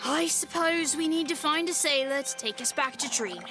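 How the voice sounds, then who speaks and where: Woman playing an anxious, high-pitched, exasperated child. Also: normal speed, over music. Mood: grudging. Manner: emphatic, exasperated. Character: anxious, cautious, indignant child sailing runaway. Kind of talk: audio drama acting.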